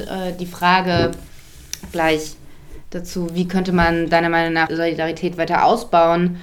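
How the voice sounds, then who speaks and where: A woman hosts the podcast and asks calm follow-up questions. Her voice sits low for a woman. A faint hiss runs under the recording. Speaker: podcast host, interviewer. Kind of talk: interview questions.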